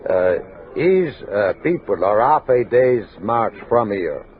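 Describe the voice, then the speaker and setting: An actor playing a loyal, deferential frontier scout and interpreter in a western radio drama, with hesitant, deliberate phrasing. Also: male voice, on the low side, big pitch swings, phone-quality sound. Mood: hesitant, respectful. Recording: band-limited 1960s radio recording.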